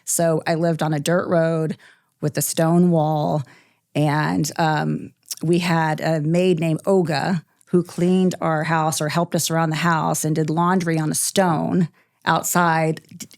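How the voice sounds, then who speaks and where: A middle-aged woman, a company president, telling stories from her childhood in a relaxed, thoughtful interview with many pauses. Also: sits low, no echo, clean studio sound. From born in Iceland, military family. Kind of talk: podcast interview, reflective storytelling.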